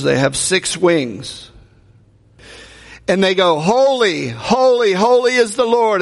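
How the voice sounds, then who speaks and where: A man's voice: sits high, gravelly. A man preaching a sermon in a conversational way, asking the congregation rhetorical questions. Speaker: pastor. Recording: long hall reverb.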